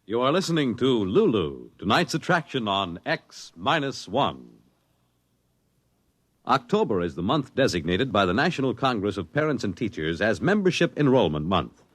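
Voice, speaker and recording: A man announcing on 1950s radio in a measured, formal, emphatic scripted style. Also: lowish voice, sing-song, dry recording.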